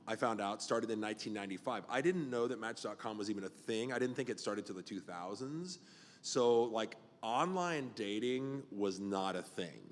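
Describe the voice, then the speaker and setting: A man's voice: medium pitch, long reverb tail. A man in his fifties, a pastor hosting a casual podcast chat, talking loosely with lots of fillers. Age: 50s.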